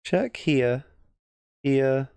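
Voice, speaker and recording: A man's voice: mid-range voice, very slow, darkish tone, smooth, no echo. A man with an English accent giving casual, thinking-aloud game commentary as a chess streamer, often muttering half-finished thoughts. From England.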